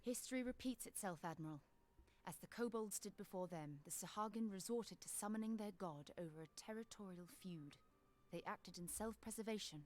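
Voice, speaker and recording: Actress doing a composed scholar lady in a fantasy game, measured formal old-timey speech, medium pitch. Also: darkish tone, close to an everyday voice. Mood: grave, reproachful. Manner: formal, measured, archaic phrasing. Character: composed, sharp-witted woman scholar, gravely principled. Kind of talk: video game voice acting.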